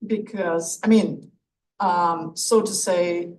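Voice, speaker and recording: A woman's voice: fairly low pitch, fairly even intonation, slow, a little mellow. German-accented woman asking from the audience. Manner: hesitant, roundabout, polite. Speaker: visiting fellow, audience questioner. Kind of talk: academic question and comment from the audience. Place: lecture room audience. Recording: distant mic, faint room noise.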